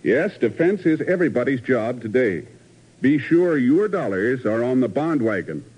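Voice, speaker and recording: A man's voice: medium pitch, big pitch swings, normal speed, husky. A man doing scripted announcing and narration in 1950s radio style. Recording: muffled 1950s radio broadcast.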